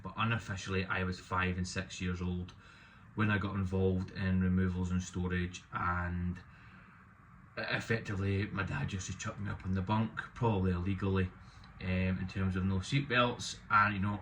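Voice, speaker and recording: A man in his thirties, loud and opinionated with a self-deprecating streak, talking casually to camera about his business. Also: sits low, little pitch movement, normal speed, slight background noise. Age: 30s. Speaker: business owner vlogging.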